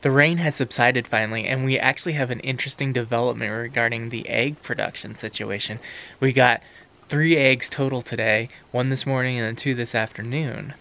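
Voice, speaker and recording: A man's voice: mid-range voice, steady tone, a little fast, vocal fry, pushed and bright. A man in his thirties vlogging about his homestead, talking earnestly to a camera held at arm's length in an open pasture. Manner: earnest, reflective, talking while walking outdoors. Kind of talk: casual vlog to camera. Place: open pasture outdoors, rainy day.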